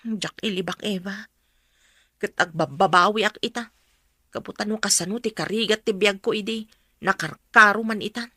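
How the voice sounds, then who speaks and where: A woman's voice: mid-range voice, normal speed, sharp tone, slightly rough, no room sound, studio quality. A woman with an Ilocano Filipino accent acting a weary, regretful mother in a radio drama, pleading and emotional. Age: adult. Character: Cora, weary regretful mother who left her children.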